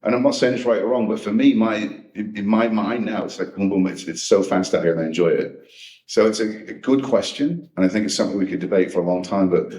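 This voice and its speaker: A man with a very low voice talks frankly and conversationally, restarting his sentences as he answers a live audience. He is picked up from a distance in a roomy, echoing hall. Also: a little mellow. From United Kingdom. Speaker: speaker at a coaching event. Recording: echoey hall, distant mic.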